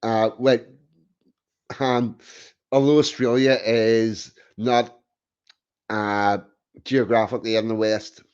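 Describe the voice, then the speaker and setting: Slow, blunt man in lo-fi audio. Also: lowish voice, flat delivery, a little mellow, clean tone. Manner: blunt, opinionated, frequent restarts. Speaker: host. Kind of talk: casual chat. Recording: dull lo-fi sound.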